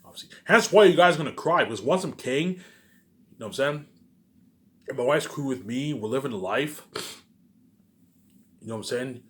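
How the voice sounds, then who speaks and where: Male vlogger, medium pitch with big swings; rambling, accusatory rant, repetitive and profane. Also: animated, normal speed, long pauses, a bit bright. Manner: emphatic and repetitive, punctuated with muttered tag words. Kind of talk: rambling, ranting monologue addressed to viewers.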